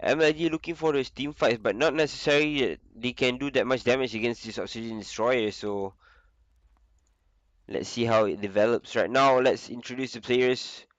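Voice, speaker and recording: A young man with the flu, casually commentating a video game on his own, with a lot of filler pauses. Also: mid-range voice, dry recording, dull audio. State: sick with flu. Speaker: esports caster.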